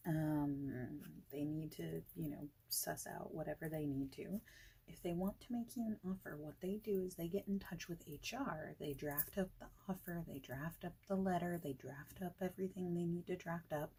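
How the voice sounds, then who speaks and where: A woman doing a soft-spoken ASMR interview roleplay, with a breathy voice that often slips into whispering and a casual, hesitant, chatty delivery. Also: low-pitched, moderate pace.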